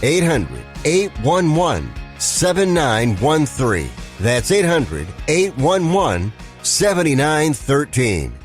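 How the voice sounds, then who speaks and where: Male ad announcer, very measured, animated pitch, light music behind. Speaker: commercial announcer. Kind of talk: scripted ad phone-number read.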